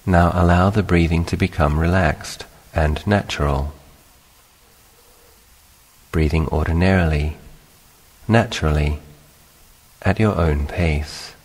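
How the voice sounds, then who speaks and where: Very deep, slow-speaking male meditation guide. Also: husky. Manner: calm, unhurried, instructional with long pauses. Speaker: meditation teacher, monk. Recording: long hall-like reverberation.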